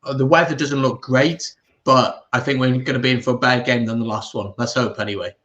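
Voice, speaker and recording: A man speaking as a guest pundit, giving measured, conversational sports opinions with the odd tag question. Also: mid-range voice, steady tone, lo-fi sound, noisy background. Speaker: guest pundit on a rugby watch-along. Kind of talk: casual sports analysis.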